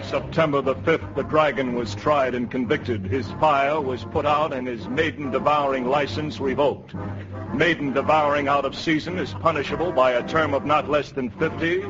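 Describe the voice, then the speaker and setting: A man's voice: medium pitch, fairly even intonation, moderate pace. A man announcing in a scripted, formal 1950s radio style. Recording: muffled old radio, loud music and audience. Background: music and audience.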